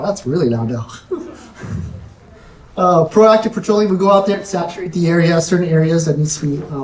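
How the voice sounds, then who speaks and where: A woman, a sheriff's deputy, giving a community safety presentation in a soft-spoken, nervous, chatty way. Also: on the low side. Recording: echoey room, distant mic, faint noise.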